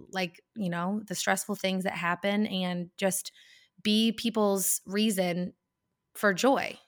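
A woman in her thirties co-hosting a podcast, upbeat and encouraging in a casual chat. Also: medium pitch. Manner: upbeat and encouraging, with fillers and restarts. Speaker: podcast co-host.

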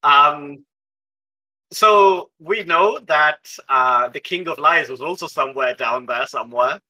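A man's voice: fairly high pitch, takes it slowly, bright, forceful tone, muffled recording. A man playing a tabletop game, chatting casually and playfully as he thinks aloud. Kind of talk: casual improvised game chat.

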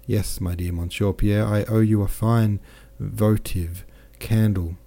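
A man's voice: on the low side, fairly even intonation, slow, gravelly, dark tone, exaggerated voice. A man voicing a jovial, boastful French army captain who chatters in exclamations.

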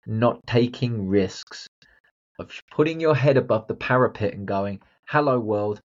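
A man's voice: on the low side, husky, muffled recording. A man hosting a motivational solo podcast, talking bluntly and directly to the listener. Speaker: podcast host and confidence coach.

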